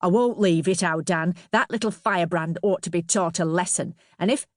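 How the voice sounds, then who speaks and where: A woman voicing a shrill, furious working-class mother in an audiobook, confrontational, bellowing and sarcastic. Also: normal speed, no echo, clean studio sound, close to an everyday voice.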